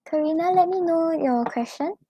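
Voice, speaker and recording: A young Singaporean woman giving a live-stream tarot reading, offering gentle reassuring advice with casual fillers. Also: extremely high pitch, normal speed, no echo, poor audio. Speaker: tarot reader.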